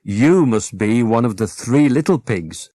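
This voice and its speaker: A man's voice: mid-range voice, expressive, unhurried, warm tone, no echo. Man reading as a sensible, choosy girl doll. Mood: brisk, sensible.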